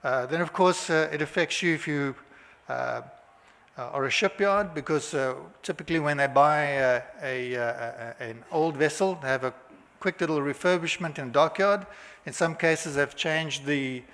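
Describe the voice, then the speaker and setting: A man with a South African accent giving a halting conference presentation, full of filler sounds and restarts. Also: a little high, fairly bright tone. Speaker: conference speaker. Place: hotel conference hall. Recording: long hall reverb.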